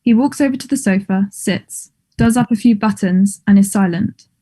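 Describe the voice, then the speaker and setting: Woman, stage directions narrator, soft dark tone, measured, neutral, scripted. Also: medium pitch, breathy edge.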